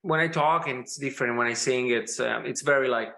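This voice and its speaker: Italian-accented male guest, bright tone, halting, searching for words, laughs easily. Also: medium pitch, vocal fry. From Italy. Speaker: guest musician. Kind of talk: casual interview chat.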